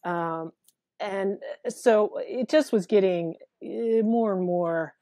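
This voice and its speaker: Deep-voiced woman, therapist guest, earnest and candid, mellow tone, dry sound, earphone mic on a video call. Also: slow pace, no echo. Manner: frank and earnest, thinks aloud with fillers and restarts. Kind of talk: candid interview conversation. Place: small room at home with a window.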